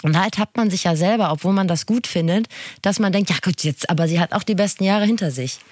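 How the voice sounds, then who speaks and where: A woman's voice: fairly low pitch, fast talker, a little mellow, no room sound. A woman co-hosting a podcast who talks in a witty, conversational way. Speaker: podcast co-host. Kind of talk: casual podcast chat.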